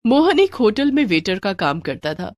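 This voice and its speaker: A woman's voice: medium pitch, very animated, normal speed, no room sound, clean studio sound. A woman narrating a scripted moral bedtime story for children.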